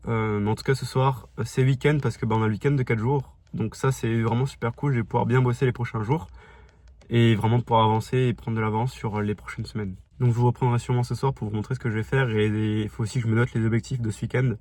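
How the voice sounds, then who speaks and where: A man's voice: mid-range voice, fairly even intonation, fast talker, darkish tone. A young man talking casually to his phone camera, rambling with many fillers and restarts, stuffy from a cold. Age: young adult. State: congested with a cold. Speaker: vlogger. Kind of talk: casual vlog diary. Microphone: phone held facing him.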